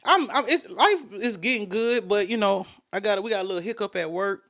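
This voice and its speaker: Bright high-voiced man telling stories. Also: a little fast. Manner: loose slangy storytelling, profane. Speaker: podcast co-host. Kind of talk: casual chat.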